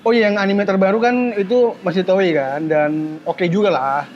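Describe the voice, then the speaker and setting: A man hosting a podcast in casual, excited, slangy chat, with a sore mouth. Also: high voice, normal speed, muffled recording. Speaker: podcast host.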